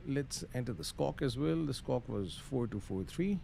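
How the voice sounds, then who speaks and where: A man with a creaky, gravelly voice commentates casually on a live stream. He mutters through procedures with some hesitation and drops into radio phraseology. Faint noise sits behind him, and his pitch is average. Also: expressive, moderate pace, dark tone. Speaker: flight simulator live streamer.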